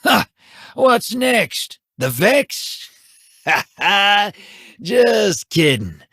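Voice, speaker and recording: An actor voicing a wily, scruffy male gambler in a video game, drawling folksy wisecracks in a husky voice. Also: sits high, big pitch swings, drawn-out words, bright, forceful tone, dry recording, studio quality, exaggerated voice. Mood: sly.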